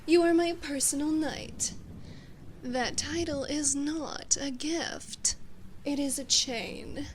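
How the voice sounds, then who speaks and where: Woman playing a slow, high-voiced haughty demon queen. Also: a little mellow, slight background noise. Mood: cold command. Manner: imperious, measured, condescending, drifting into breathy whispers. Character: haughty, domineering female demon queen addressing her human knight. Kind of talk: ASMR roleplay, scripted monologue.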